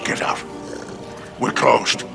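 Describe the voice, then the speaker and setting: An actor playing a sly, scheming alien crime boss in a video game, with a growling voice and a slow, insinuating, theatrical delivery. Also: male voice, lightly acted. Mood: cold. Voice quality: gravelly, growling. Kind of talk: video game character acting. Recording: music behind the voice.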